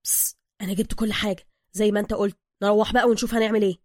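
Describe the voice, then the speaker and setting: A woman voicing an excitable, touchy but loyal streetwise man with a slangy Egyptian accent, in an audio story. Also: medium pitch, racing, no room sound, studio quality, close to an everyday voice. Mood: chatty, pleased. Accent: Egyptian, colloquial Cairene. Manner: gushing, slangy, defensive.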